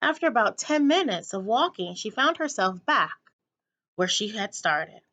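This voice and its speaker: A woman narrating a children's book aloud in an expressive read-aloud style, like a teacher to a class. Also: mid-range voice, normal speed, muffled recording.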